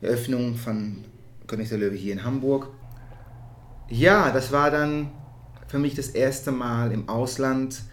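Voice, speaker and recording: A man's voice: medium pitch, slow pace. Dutch-accented man, searching for words. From Netherlands. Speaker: interview guest, musical theatre performer. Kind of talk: reflective conversational interview.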